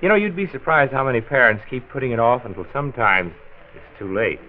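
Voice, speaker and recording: An actor playing a genial, folksy county doctor in a 1940s radio drama, both narrating and chatting. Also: male voice, medium pitch, expressive, fast, lightly acted. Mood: earnest, then jovial. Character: genial county doctor, health commissioner, narrating his story. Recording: noisy, narrow-band old radio recording.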